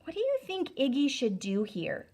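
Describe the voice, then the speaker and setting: A woman reading a storybook aloud to children as a teacher, deliberate, emphatic and instructive. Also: high-pitched, wildly swooping pitch, a little mellow.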